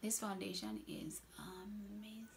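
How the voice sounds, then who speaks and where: A woman's voice: medium pitch, flat delivery, unhurried, vocal fry, a little hiss or hum. A young woman from Trinidad, a makeup artist reviewing products in a casual vlog, enthusiastic and repeating words for emphasis.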